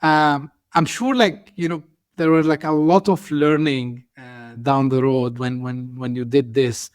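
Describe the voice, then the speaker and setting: A man's voice: medium pitch, steady tone, unhurried, clear, resonant tone, dry recording, studio quality. A man hosting an interview podcast, asking long, hesitant questions full of fillers. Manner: hesitant, many "you know" fillers. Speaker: podcast host.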